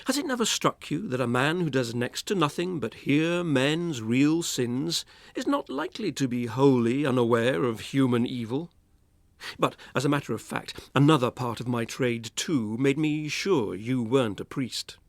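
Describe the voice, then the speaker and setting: A man reads fiction aloud with lively, swooping intonation. His voice has a gravelly creak and sits in a dry studio acoustic, giving the dialogue a dramatic lift. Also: medium pitch, moderate pace, dry recording. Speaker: reader. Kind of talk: dramatic audiobook-style reading of fiction.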